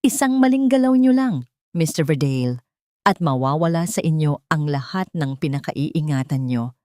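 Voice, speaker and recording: A woman voicing a composed, vengeful female executive, speaking in a measured, icy and formal way for an audio drama. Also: low voice, expressive, dark tone, no echo, studio quality. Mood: icy threat. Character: cold, powerful vengeful businesswoman, composed and threatening.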